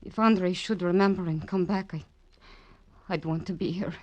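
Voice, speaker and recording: An actress playing a gentle, worried young female ballet dancer, soft-spoken and hesitant, in an old-time radio drama. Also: lowish voice, fairly even intonation, moderate pace, highs cut off, close to an everyday voice.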